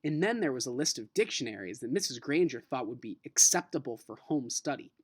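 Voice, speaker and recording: A man speaking as a teacher, reading a children's novel aloud and talking to students in an expressive, informal way. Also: medium pitch, brisk, husky, no echo, studio quality. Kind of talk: read-aloud narration mixed with casual lesson talk.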